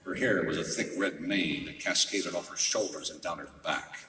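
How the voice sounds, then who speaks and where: Hardboiled male gumshoe played by an actor, noir radio drama: low, forceful, wry and world-weary, in a big echoey hall. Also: moderate pace, natural voice. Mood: sardonic, assured. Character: hardboiled wisecracking male private detective. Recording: echoey hall, distant mic.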